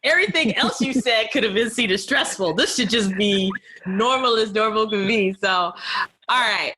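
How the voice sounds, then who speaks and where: A woman with a bright, forward voice, pitched a little high, hosts a casual interview. She is expressive and informal, with a playful way of putting questions. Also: normal speed, slight background noise, rough recording. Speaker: podcast host.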